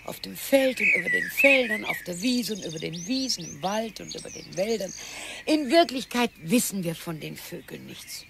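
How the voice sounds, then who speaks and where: An actress playing a resigned, dry-witted older wife in a radio play. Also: female voice, medium pitch, very animated, normal speed, creaky, background noise. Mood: musing. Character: weary older wife of a pensioner, dry and tired.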